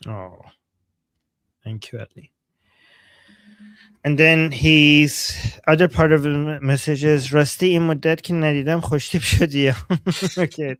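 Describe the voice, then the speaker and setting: A man's voice: medium pitch, no echo. A man hosting a live stream, chatting casually as he reads out and answers viewer messages, often repeating phrases for emphasis. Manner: switches between English and Persian, translating aloud.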